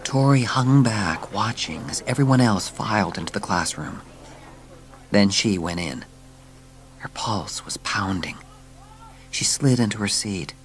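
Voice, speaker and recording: A woman's voice: very deep voice, unhurried, pauses often. A woman reading a children's story as a scripted, dramatic audiobook narration. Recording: music under the narration. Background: music.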